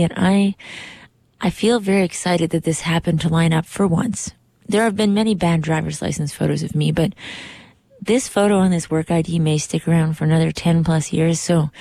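A hoarse woman hosting a radio show from the studio, chatting in an upbeat, rambling way and correcting herself as she goes. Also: fairly low pitch, mellow, no room sound. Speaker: radio host. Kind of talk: casual radio show chat. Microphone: studio microphone.

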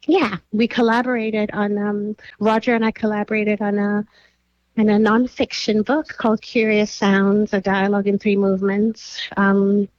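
A woman from Nigeria, a curator and writer, answering interview questions thoughtfully with many pauses and fillers. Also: mid-range voice, flat delivery, darkish tone, no echo, lo-fi sound.

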